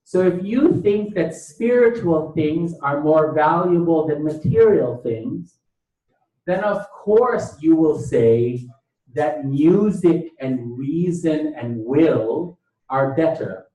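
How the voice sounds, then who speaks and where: A man's voice: fairly high pitch, lively intonation, takes it slowly, dark tone. A man from Thailand lecturing, speaking emphatically, repeating key phrases and posing rhetorical questions. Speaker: lecturer, bioethicist. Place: indoor lecture room. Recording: echoey room, distant mic.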